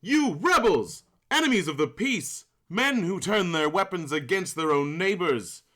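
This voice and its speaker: A man's voice: high voice, animated, unhurried, fairly bright tone. An actor playing a stern, commanding male prince who scolds feuding families in an audio drama. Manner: authoritative, scolding.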